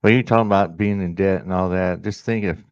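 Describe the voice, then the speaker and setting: A man's voice: low-pitched, fairly even intonation, a little fast, no echo. An older man with an American accent, talking casually as a caller in a chat. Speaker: caller, truck driver. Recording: dull lo-fi call audio.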